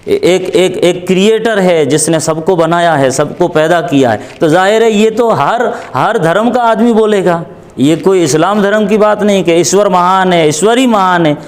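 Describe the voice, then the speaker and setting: Higher-pitched man explaining in Hindi. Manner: deliberate, repeats phrases for emphasis. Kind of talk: explanatory interview answers.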